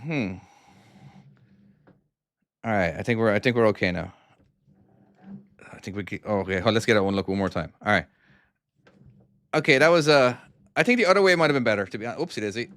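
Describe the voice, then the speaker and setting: A man's voice: lowish voice, lively intonation, fairly quick, long pauses, a bit bright, no echo. An Irish man who makes trucking games, chatting casually and self-deprecatingly on a live stream while playing and answering chat. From Ireland. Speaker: game developer streaming his own game.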